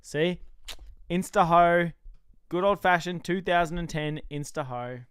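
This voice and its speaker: An Australian man co-hosting a casual recap chat, loud, jokey and excitable, trash-talking on a close mic. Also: on the high side, a little slow, smooth, no echo. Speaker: podcast co-host.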